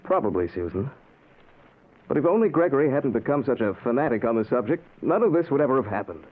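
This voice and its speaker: An actor playing a sober, resigned bereaved son in the manner of 1940s radio drama. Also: male voice, medium pitch, sing-song, fast talker. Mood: resigned, sad. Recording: muffled, noisy old radio broadcast.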